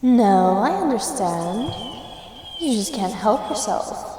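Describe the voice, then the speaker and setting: A woman's voice: fairly high pitch, big pitch swings, takes it slowly, warm tone. Woman acting a coy leprechaun in echoing reverb. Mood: mildly peeved, fond. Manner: coy, playful, old-fashioned formal wording. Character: shy, flirtatious, mischievous female leprechaun of the woods. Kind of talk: scripted roleplay audio addressed to the listener. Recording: roomy long reverb, faint noise. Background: outdoor nature sound effects.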